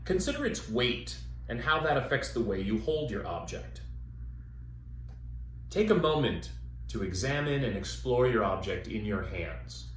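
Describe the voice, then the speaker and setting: A man working as a theater educator, guiding listeners through an exercise with measured, step-by-step instructions and pauses. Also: medium pitch, sing-song. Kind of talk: instructional demonstration, guided exercise. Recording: echoey room with faint background noise.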